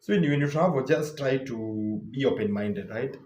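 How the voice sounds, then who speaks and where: A man's voice: mid-range voice, normal speed, darkish tone, a little hiss or hum. Young male vlogger, Kenyan English accent, casual chatty delivery, roomy echoey sound. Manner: loose and chatty, lots of repeats and fillers. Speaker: travel vlogger. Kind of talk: casual travel advice talk.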